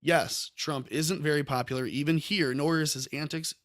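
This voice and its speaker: A man's voice: a little high, steady tone, moderate pace, fairly bright tone, no room sound, studio quality. A man hosting a political talk podcast, musing at length with many ums and self-corrections. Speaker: podcast host. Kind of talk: political commentary chat.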